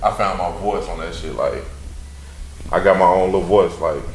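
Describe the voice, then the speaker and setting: A man who raps, talking as a guest in a loose, slangy interview, with a deep, mellow voice and lots of fillers. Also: moderate pace, echoey room, a little hiss or hum, rough recording. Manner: laid-back, rambling, slangy, many fillers. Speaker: rapper, guest. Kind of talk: casual interview talk.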